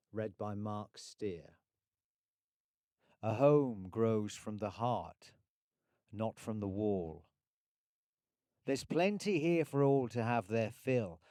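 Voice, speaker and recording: A man reciting poetry with deliberate, rhythmic phrasing. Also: on the low side, warm tone, no room sound, studio quality. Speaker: poetry reader.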